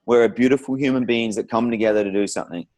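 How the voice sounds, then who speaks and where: A man's voice: mid-range voice, fast talker, darkish tone. A man hosting a conversational interview in an informal, rambling, encouraging way. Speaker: interview host.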